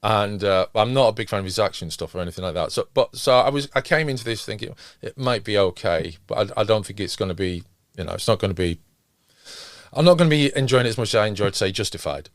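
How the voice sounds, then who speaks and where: A man's voice: fairly low pitch, lively intonation, quick pace, no echo, clean studio sound. A man nearly fifty, co-hosting a casual podcast chat in a hesitant, understated way. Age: late 40s, nearly 50. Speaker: podcast co-host. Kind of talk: casual discussion of television.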